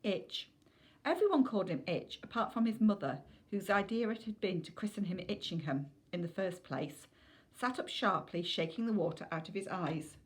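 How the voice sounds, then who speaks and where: A woman's voice: mid-range voice, lively intonation, normal speed. A woman reading a children's novel aloud as scripted narration.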